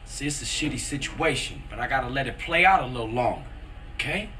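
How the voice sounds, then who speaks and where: Young streetwise American guy, bright edgy voice. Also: mid-range voice, expressive, quick pace, a little hiss or hum. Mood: brooding, resigned. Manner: slangy, blunt. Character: young streetwise man, worried about his brother, tough but loyal. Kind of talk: video game cutscene acting.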